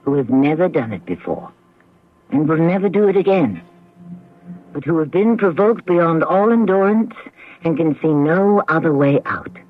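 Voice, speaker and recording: A man hosting a radio drama with dramatic scripted narration, deliberate, philosophical and ominous. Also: a little high, expressive. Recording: tinny radio broadcast, faint music.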